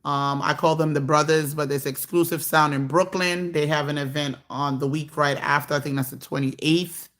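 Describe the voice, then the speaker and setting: A woman's voice: sits low, fairly even intonation, normal speed, few pauses, a bit bright. A woman casually hosting a live stream, chatting and reading viewer comments aloud.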